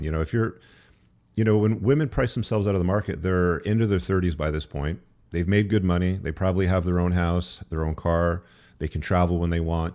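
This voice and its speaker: A man's voice: deep voice, darkish tone. A man speaking as an interview guest, explaining his opinions in a conversational, opinionated way. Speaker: podcast guest, author.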